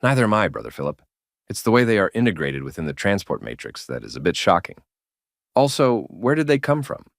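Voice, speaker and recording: A man's voice: on the low side, big pitch swings, a little fast, creaky, no room sound, studio quality. A man playing a courteous, formal scientific investigator who is keen on puzzles in a sci-fi audio drama. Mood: intrigued.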